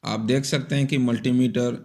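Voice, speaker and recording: A man's voice: mid-range voice, steady tone, moderate pace, a little mellow. A man giving step-by-step instructional narration for an electronics repair tutorial in a methodical, explanatory manner.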